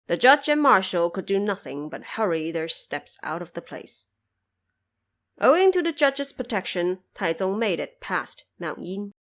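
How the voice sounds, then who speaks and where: Female storyteller for audio drama. Unhurried, measured, solemn, formal narration. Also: medium pitch.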